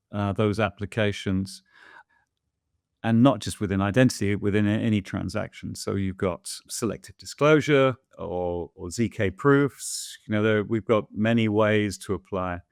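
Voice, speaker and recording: A man's voice: fairly low pitch, gravelly, no echo, clean studio sound. A man in a casual interview, answering viewer questions in a thoughtful, hesitant way, with many fillers and restarts.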